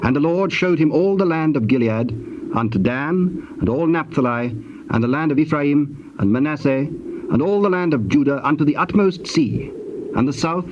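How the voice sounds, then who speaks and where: A man narrating scripture in a solemn, measured, scripted manner. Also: on the high side, expressive. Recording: muffled old tape with clear noise.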